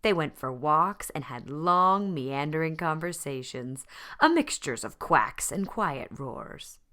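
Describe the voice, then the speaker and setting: A woman reading a children's story aloud in expressive narration, with deliberate phrasing and dramatic pauses. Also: sits low. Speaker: storybook reader.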